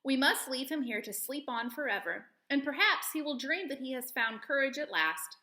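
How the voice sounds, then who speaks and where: A tenderhearted tin woodman from a storybook, acted by a woman reader. He is sad and earnest, with a bright, expressive voice in an echoey room. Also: sits high, normal speed. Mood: sad, resigned.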